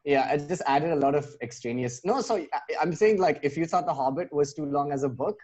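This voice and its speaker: A man's voice: a little high, a little fast, a little mellow. A man hosting a podcast in a casual, conversational way. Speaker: podcast host. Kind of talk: casual pop-culture chat.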